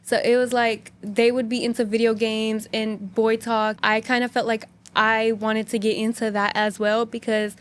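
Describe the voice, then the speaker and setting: A woman's voice: fairly high pitch, level tone, normal speed, few pauses. A young American woman whose first language is Hindi, chatting as a podcast guest and filling her talk with "like". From United States. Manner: chatty, many "like" fillers. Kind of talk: casual interview chat.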